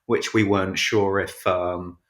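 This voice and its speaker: Male interview guest, lowish pitch, echoey room, informal and halting delivery. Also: little pitch movement, fairly bright tone. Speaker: podcast guest, audiobook narrator. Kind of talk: casual chat. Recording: roomy, echoing sound.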